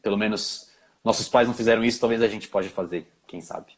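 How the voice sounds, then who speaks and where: A man's voice: lowish voice, fairly even intonation. A young adult man with a Brazilian Portuguese accent, chatting loosely as a guest in a live interview. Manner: loose, chatty, quick bursts with fillers like "tipo". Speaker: guest, filmmaker and actor. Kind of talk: casual live interview chat.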